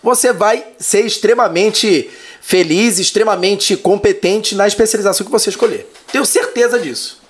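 A man's voice: sits high, moderate pace. A man, a lawyer chatting as a podcast guest and telling jokey stories. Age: 30s. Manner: animated, jokey storyteller. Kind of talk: casual chat.

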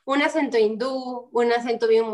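A woman in her twenties from Peru, talking through her story in a relaxed interview. She tells it with animation and acts out the voices of the people she quotes. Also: on the high side, normal speed. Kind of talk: casual interview chat.

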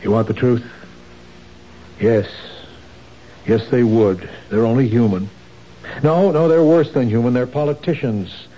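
An actor playing a kind, patient husband who gently questions his wife, in a 1970s radio drama. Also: medium pitch, a little slow, long pauses, close to an everyday voice. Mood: gentle. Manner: gentle, reassuring, probing. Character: kind, patient husband, city office worker. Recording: dull old radio broadcast.

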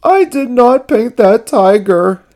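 A reader voicing an excitable, earnest childlike male puppet character in a storybook reading, with an exclamatory delivery. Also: mid-range voice, slow, pitched above the performer's own voice, full character voice. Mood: sad, tearful.

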